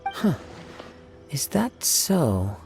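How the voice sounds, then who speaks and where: A man voicing a composed, modest young wandering samurai in a video game, calm, polite and reserved. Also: a little high, drawn-out words, gravelly, faint music behind, heavily acted. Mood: probing, tactful. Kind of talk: video game character dialogue.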